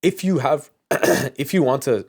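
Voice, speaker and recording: A man's voice: medium pitch, lively intonation, measured pace, a little mellow, no room sound, studio quality. A man speaking in a casual podcast chat, explaining things informally as a host. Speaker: audio mixing engineer.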